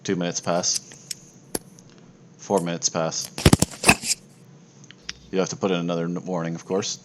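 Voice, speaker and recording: A man's voice: low-pitched, level tone, a little slow. A man acting as game master on a voice call, narrating a tabletop game in casual talk peppered with swearing. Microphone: online voice call. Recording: noisy home voice-chat recording.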